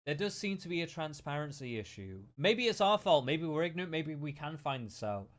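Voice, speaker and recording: A man's voice: a little high, very animated, a little fast. A British man hosting a podcast, chatting in a rambling, opinionated, stop-start way. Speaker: podcast host. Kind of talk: casual chat.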